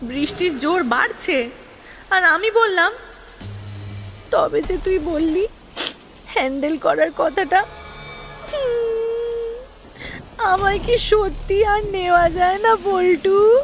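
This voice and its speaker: A woman's voice: very high-pitched, expressive, slow, pauses often, heavily acted. A woman playing a wistful, lovelorn ghost who narrates an audio drama. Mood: wounded, pleading. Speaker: narrator and lead character. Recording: reverberant hall sound under music. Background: prominent background music.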